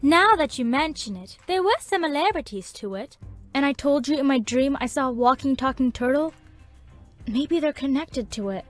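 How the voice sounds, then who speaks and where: A woman's voice: sits high, lively intonation, normal speed, soft background music. A woman voicing an earnest, dramatic teenage cartoon girl in an emphatic, theatrical fan fiction reading. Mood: earnest, determined.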